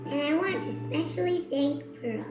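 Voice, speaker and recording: An actor voicing a small, sweet pond creature in a children's cartoon, with a gentle, deliberate manner. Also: high voice, animated. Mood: grateful. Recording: roomy sound with faint music.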